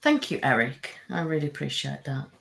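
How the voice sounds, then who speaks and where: A woman's voice: extremely low pitch, normal speed, gravelly, fairly bright tone, a little hiss or hum. Rambling, chatty woman. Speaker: live-streaming channel host. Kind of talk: casual chat, reading viewer comments. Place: home.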